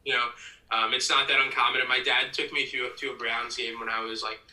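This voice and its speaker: A man's voice: mid-range voice, little pitch movement, fast talker, sharp tone. A young American man from Ohio chatting casually and earnestly as a guest in an interview. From Ohio, United States. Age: young adult. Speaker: podcast guest, PA announcer and ticket sales executive. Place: room at home, audio call. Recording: roomy, slightly distant mic.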